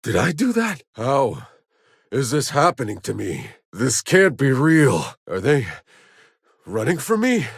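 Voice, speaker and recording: A man playing a gruff, sardonic, battle-worn soldier in a sci-fi video game, with a gritty, husky voice and a terse, dry delivery. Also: mid-range voice, dry recording, heavily acted. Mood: wary, awed.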